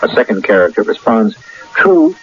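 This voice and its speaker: An old, slightly muffled radio broadcast with faint music behind a man narrating as host. He is theatrical and literary, with a mid-pitched voice that rises and falls dramatically. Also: huge pitch swings, moderate pace. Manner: literary, storytelling. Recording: dull vintage radio broadcast.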